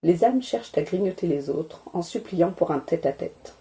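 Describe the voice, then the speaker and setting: A woman softly reading poetry aloud in a calm, deliberate ASMR style, spoken rather than whispered. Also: lowish voice. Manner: measured, deliberate, soothing delivery. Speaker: ASMR creator reading poems.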